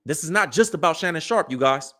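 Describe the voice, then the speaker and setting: A man in his early thirties hosts a live commentary show with a high voice. He lectures his audience in a preachy way and uses a lot of street slang, talking straight at the people listening. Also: animated, fast, pushed and bright. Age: 30s.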